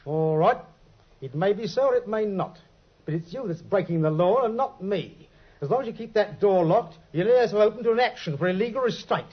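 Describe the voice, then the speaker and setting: Casting: a shrill, husky-voiced cowardly swindler, whining and defiant, dull 1950s radio sound. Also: male voice, expressive, a little fast. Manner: whining, defiant, then panicky. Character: sly cowardly middle-aged stepfather swindler. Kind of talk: 1950s radio drama acting. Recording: dull old radio recording.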